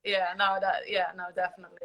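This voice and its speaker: Bright bubbly woman with a Dutch accent. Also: medium pitch, level tone, fast, poor audio. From Netherlands. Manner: bubbly, laughing, hesitant. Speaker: guest. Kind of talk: casual chat. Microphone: phone.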